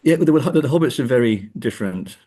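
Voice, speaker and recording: A man speaking as an academic interview guest, discussing books and films in a hesitant, thoughtful, halting way. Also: mid-range voice, expressive, warm tone, breathy edge. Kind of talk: conversational literary and film discussion.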